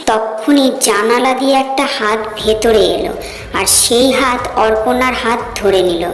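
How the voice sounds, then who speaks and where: A woman narrating a scripted animated horror story as its storyteller. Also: fairly high pitch, a little slow, very noisy. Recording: echoey, long reverb, music behind.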